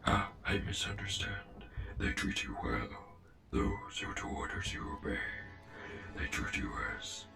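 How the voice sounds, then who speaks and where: The low, crackling, courteous robot commander who would receive you aboard an enemy warship in a dubbed comic, score playing underneath. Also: male voice, animated, vocal fry, sharp tone, full character voice. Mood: calm, persuasive. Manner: measured, formal, deliberate. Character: calm, formal, persuasive male robot faction officer. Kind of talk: comic dub voice acting. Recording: music behind the voice.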